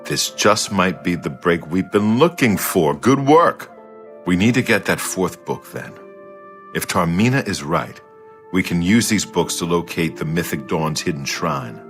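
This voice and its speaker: A seasoned undercover warrior in a fantasy game. His voice is very low and gruff, delivered with firm resolve over faint music. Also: animated, a little slow. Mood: determined. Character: gruff, resolute male imperial secret agent, seasoned warrior. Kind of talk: video game dialogue acting.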